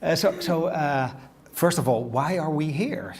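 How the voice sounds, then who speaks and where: A man's voice: fairly high pitch, measured pace. A man hosting a podcast interview, eager and casual, often restarting and repeating words. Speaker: podcast host. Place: design exhibition venue.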